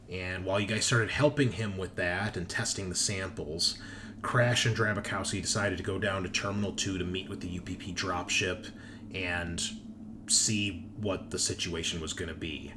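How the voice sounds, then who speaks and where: Low-voiced man running a tabletop game, noisy recording. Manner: casual, off-the-cuff storytelling. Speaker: game master. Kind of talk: narrating a tabletop roleplaying game.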